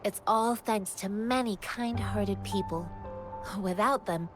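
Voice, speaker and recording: Earnest, kind young wanderer voiced by an actress, music behind. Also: female voice, mid-range voice, slow pace, close to an everyday voice. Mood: earnest, polite. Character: earnest kind-hearted young woman martial-arts wanderer. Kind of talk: video game voice acting.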